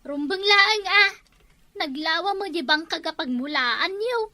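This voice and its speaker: A woman's voice: very high voice, animated, measured pace, dry recording, full character voice. A woman with an Ilocano accent acting in a comedy sketch, chiding. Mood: calm. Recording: loud music behind.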